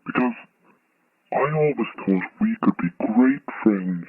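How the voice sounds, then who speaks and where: A menacing male stalker comes over a thin phone line, very deep and husky. He talks with slow, deliberate friendliness, like an obsessed fan in a horror radio play. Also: lively intonation, dry recording. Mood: creepily eager. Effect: telephone filter. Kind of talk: audio horror drama acting.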